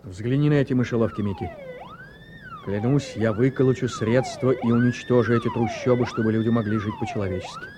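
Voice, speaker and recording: An actor in a Russian radio play voicing an embattled, blustering politician who is desperate and scheming, speaking emphatically and commandingly. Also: male voice, medium pitch, huge pitch swings, fairly quick, noisy background. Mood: frantic, driven.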